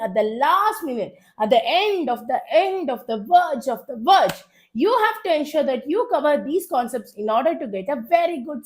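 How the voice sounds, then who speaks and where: A woman teacher with a South Indian accent speaks in a high-pitched voice made hoarse by a cold. She leads a live online revision class, urging her students along. She often repeats short phrases for emphasis. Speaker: chemistry teacher.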